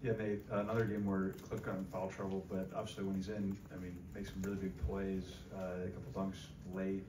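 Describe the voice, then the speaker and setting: A man's voice: deep voice, completely flat delivery, fairly quick. A man reporter asking a coach a question at a press conference. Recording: echoey room, far off, background noise.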